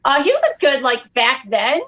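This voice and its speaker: Middle-aged woman, higher pitch, lively self-mocking comedian guest. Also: moderate pace, dull audio, a little hiss or hum. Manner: animated, self-mocking, jokey storyteller. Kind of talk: casual podcast chat.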